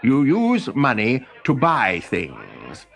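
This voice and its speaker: A man's voice: medium pitch, huge pitch swings, takes it slowly, creaky, fairly bright tone, soft background music, full character voice. A man voicing an earnest, self-assured male inventor who explains things in a children's story. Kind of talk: storybook character voice.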